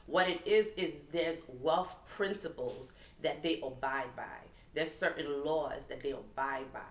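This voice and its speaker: A woman with a Haitian accent and a slight lisp, co-hosting a casual chat and telling stories in an animated way. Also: low-pitched, a little slow, a little hiss or hum. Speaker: co-host. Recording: echoey room from far off.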